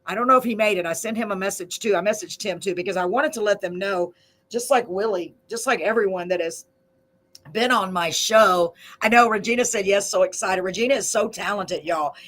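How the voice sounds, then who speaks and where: A woman's voice: mid-range voice, brisk, a bit bright. A woman hosting a casual live radio chat, talking enthusiastically and repeating phrases for emphasis. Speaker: live radio show host and amateur songwriter. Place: small local radio studio.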